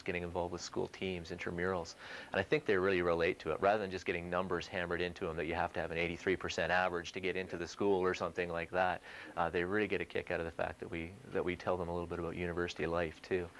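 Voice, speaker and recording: A young man working in student recruitment, explaining his school visits in a brisk, enthusiastic way. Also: low voice, fairly bright tone, slight background noise. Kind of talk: interview explanation.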